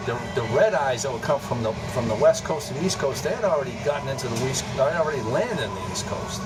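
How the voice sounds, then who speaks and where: An American man gives an unscripted interview account from some distance off the microphone, with loud music behind him. He speaks haltingly, doubling back on words and starting phrases again as he explains. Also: medium pitch. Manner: halting, repeats words and restarts often. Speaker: interviewee, former air traffic controller. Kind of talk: recounting memories in an unscripted interview. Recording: distant mic, loud music, vehicle-like hum.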